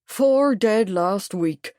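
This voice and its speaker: A woman's voice: mid-range voice, expressive, very slow, a little mellow, no room sound. Fussy old military man, pompous and long-winded, read by a woman narrator in a dry studio. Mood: mournful. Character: fussy older male retired major, pompous.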